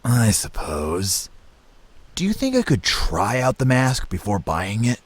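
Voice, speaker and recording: Man acting a slow, earnest young fantasy adventurer. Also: mid-range voice, wildly swooping pitch. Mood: intrigued. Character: curious young mixed-blood man with oddly shaped ears. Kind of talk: fantasy audio drama acting.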